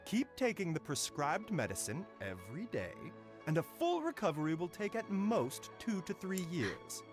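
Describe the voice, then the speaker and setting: A gentle video game doctor, soft and measured, whose voice rises and falls lively. Soft music runs beneath. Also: male voice, sits high. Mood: reassuring. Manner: soft, measured bedside manner. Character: gentle male doctor, calm and reassuring.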